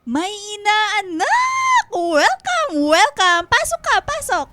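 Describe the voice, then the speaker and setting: A woman playing a warm, chatty middle-aged godmother and proud mother in a radio drama, effusive and fussing. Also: very high voice, wildly swooping pitch, takes it slowly, over loud music, heavily acted. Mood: welcoming, fussing. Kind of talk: Filipino radio drama acting.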